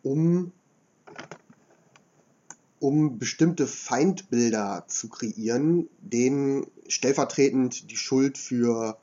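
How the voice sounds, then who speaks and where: Guy with a cold doing his history podcast, kinda hoarse, dark mellow voice, a bit dull sounding. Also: mid-range voice. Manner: measured lecturing delivery with frequent filler sounds. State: has a cold, slightly hoarse. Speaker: podcast host.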